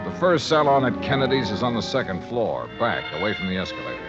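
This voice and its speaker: Shrewd private investigator, American, clipped wry delivery, low-pitched, animated, muffled 1950s radio recording. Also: male voice, quick pace, over loud music. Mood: brisk, probing. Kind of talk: 1950s radio drama acting and first-person narration.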